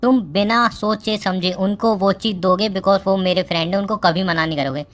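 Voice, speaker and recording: A man's voice: high-pitched, steady tone, moderate pace, fairly bright tone. A young man playing a stubborn, evasive boyfriend who argues and justifies himself in a dramatized phone-call quarrel. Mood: defensive. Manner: argumentative, self-justifying.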